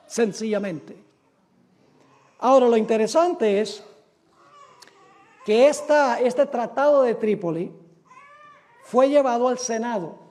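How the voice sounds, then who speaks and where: A man's voice: very high-pitched, darkish tone. A man from the United States, a pastor giving a sermon lecture, emphatic and asking rhetorical questions.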